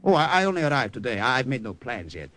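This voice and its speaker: An actor playing a wry American spy posing as an Italian, hesitant and stammering, who also narrates, in a 1940s radio drama. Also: male voice, mid-range voice, very animated, fast, sharp tone, dry recording. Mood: nervous.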